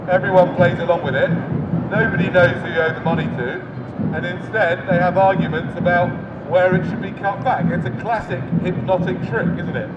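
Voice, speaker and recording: A man's voice: high voice, fairly even intonation, brisk, muffled recording. A British man improvising to passers-by through a megaphone in a wry, teasing way, often turning remarks into questions. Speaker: street speaker. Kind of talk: improvised public speaking with audience questions. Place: outdoors on a central London street. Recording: distant, echoey, very noisy city street. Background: loud traffic and urban ambience.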